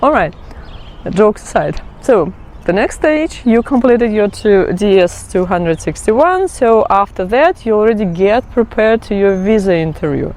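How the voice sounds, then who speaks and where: A woman's voice: mid-range voice, expressive, unhurried, darkish tone. Chatty woman. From Kazakhstan. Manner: chatty, laughs often, stumbles and corrects herself. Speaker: vlogger. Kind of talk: casual explainer chat.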